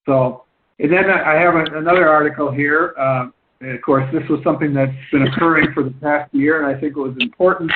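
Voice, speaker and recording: Over a thin, phone-like line, a slightly high-voiced man hosts a conference call. He explains points at length, haltingly, circling back to rephrase his phrases as he goes. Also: fairly even intonation, noisy background.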